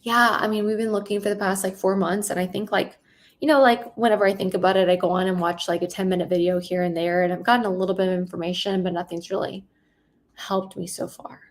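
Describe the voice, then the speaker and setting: Woman playing a hurried, hesitant sales prospect. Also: medium pitch, level tone, natural voice. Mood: unsure. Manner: hurried, filler-heavy talk.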